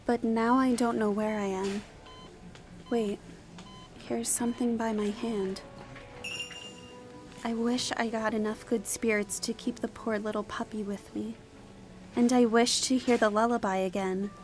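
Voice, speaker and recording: A woman's voice: a little high, level tone, measured pace, long pauses, darkish tone, natural voice. A woman playing a dying, homeless young woman who wearily narrates her lonely life in an audio drama. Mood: wistful, weary. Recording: voice over music.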